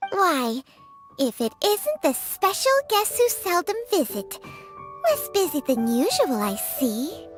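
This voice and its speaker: A man voicing a calm, courteous male pharmacist who speaks politely and formally. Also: extremely high pitch, slow, faint music behind, heavily acted.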